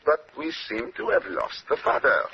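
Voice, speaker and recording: An actor playing a polite, self-assured foreign detective with a French accent and formal, courtly phrasing in a radio drama. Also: male voice, a little high, sing-song, moderate pace, vocal fry, sharp tone, exaggerated voice. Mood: composed, courtly. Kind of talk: vintage radio drama acting. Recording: dull, noisy old radio broadcast.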